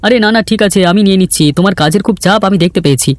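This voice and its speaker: A man voicing a good-natured, grumbling villager in a fairy-tale cartoon, chatty and quick to complain. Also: high voice, no room sound, natural voice. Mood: agreeable, grumbling. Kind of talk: Bengali cartoon voice acting. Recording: background music under the voice.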